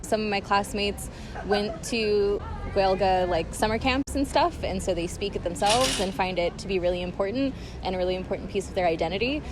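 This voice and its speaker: A woman's voice: medium pitch, moderate pace, background noise. A young woman with an Irish accent, answering a street interview outdoors in a casual way with many fillers. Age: young adult. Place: outdoors in public.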